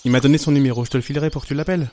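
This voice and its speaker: A man's voice: medium pitch, fairly even intonation, racing, darkish tone, a little hiss or hum, lightly acted. A man playing a patient, insistent young friend in an audio drama, reassuring and plain-spoken. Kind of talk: amateur audio drama acting.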